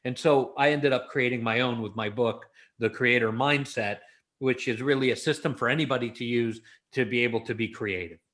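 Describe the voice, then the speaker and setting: American guy being interviewed, normal pitch, super informal, tells it like a story. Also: fairly even intonation, brisk, fairly bright tone. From Los Angeles, United States. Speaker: interview guest, author. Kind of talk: casual conversational interview.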